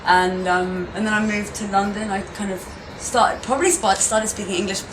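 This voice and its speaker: A woman's voice: medium pitch, fairly even intonation, normal speed, background noise. A woman in her twenties, originally from Turkey with Turkish as her first language, talking casually and hesitantly about her gallery in an interview, with many fillers. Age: 20s. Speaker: gallery owner. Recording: echoey room, mic at a distance, music behind.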